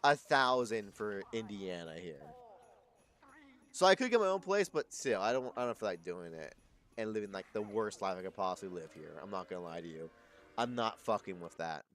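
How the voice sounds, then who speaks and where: A man's voice: fairly low pitch, lively intonation, moderate pace, fairly bright tone. A man of twenty-two chatting casually on a live stream, rambling and correcting himself, swearing freely.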